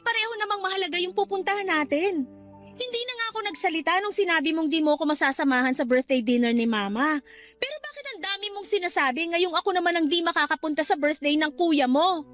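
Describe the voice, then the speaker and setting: A very high, bright voice playing an indignant young girlfriend in a radio drama. She is hurt and sarcastic, standing her ground, with music behind her. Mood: exasperated. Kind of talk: Filipino radio drama acting.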